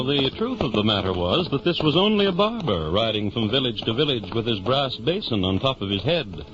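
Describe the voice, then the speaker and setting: An actor as the storyteller of a 1950s radio drama, narrating in a dramatic style. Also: male voice, medium pitch, natural voice. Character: storyteller narrating a knight's adventures. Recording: muffled 1950s radio recording. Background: music.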